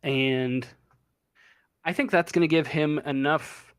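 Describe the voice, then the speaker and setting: A man narrates a tabletop horror game as game master, pausing often and filling gaps with ums and uhs. He speaks slowly, in a slightly high voice, recorded dry and close over voice chat. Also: fairly even intonation, clean tone, no echo. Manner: halting, many ums and uhs. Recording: online voice chat.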